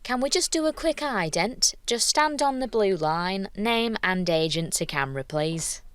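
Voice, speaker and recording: Woman playing a brisk, diplomatic casting director, expressive melody. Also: medium pitch, close to an everyday voice. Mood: businesslike. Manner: businesslike, courteous, smoothing things over. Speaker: actor in an audio drama. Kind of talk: naturalistic audio drama dialogue.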